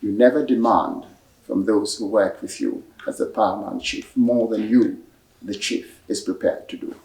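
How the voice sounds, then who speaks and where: Measured man speaking in a roomy hall. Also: fairly low pitch, steady tone, vocal fry, darkish tone. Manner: measured, explanatory, with frequent pauses and fillers. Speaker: author and speaker at a book talk. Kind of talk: answering audience questions. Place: library event room. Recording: echoey room, voice from a distance.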